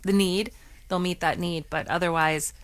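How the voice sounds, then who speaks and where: Earnest woman guest, low voice. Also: fairly even intonation, moderate pace, dry recording. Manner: earnest, explains with many restarts. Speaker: guest from a swim lesson nonprofit. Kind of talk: radio call-in discussion.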